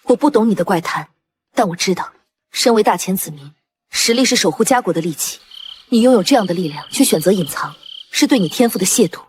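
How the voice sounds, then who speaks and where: An actress playing a proud, earnest young noblewoman warrior in an animated fantasy drama, formal and resolute. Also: mid-range voice, brisk, lightly acted. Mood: reproachful.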